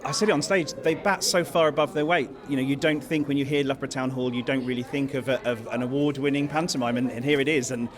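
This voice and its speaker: A man's voice: mid-range voice, fairly even intonation, quick pace, hardly any pauses. A man in a casual interview, talking emphatically and piling up repeated phrases at a busy event. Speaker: pantomime producer interviewed. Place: busy theatre at an awards event. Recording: busy crowd chatter behind.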